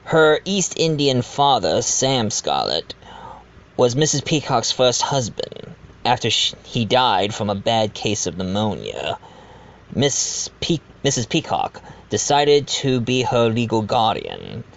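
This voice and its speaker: Man as genial widowed doctor, audio drama host, unhurried, animated intonation, chatty stumbles, slightly muffled home recording. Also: mid-range voice, vocal fry, exaggerated voice. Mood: confiding. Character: genial widowed doctor hosting a murder-mystery dinner party. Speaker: narrator and host of the dinner party. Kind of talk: fan audio drama acting with narration asides.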